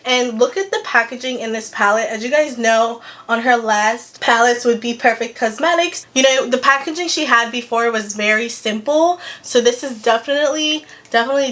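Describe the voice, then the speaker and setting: A young woman with a slightly raspy voice, chatting casually and gushing through a makeup review for her channel. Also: on the high side, fairly bright tone. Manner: chatty and gushing, frequent "like" and "girl". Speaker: beauty vlogger.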